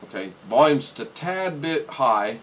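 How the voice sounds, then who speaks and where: A man's voice: medium pitch, expressive, a little slow, a bit bright. A man hosting a casual video chat, talking easily while he works through the screen. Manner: easygoing, talks while working the screen.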